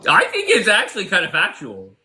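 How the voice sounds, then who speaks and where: A man hosts a casual live-stream debate in a sharp, bright voice. He swears freely and pushes his guests with blunt questions. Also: sits high, wildly swooping pitch, a little fast. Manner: blunt, profane, challenging. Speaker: livestream host.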